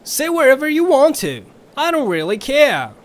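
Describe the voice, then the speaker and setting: A man's voice: extremely high pitch, big pitch swings, normal speed. A man voicing a sly, folksy riverboat captain with a flowery, drawling, theatrical manner. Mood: teasing.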